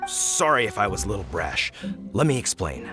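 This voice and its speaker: A man's voice: fairly low pitch, very animated, normal speed. A man playing an earnest, pushy village meat promoter in an anime-style video game. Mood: eager, persuasive. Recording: background music behind the voice.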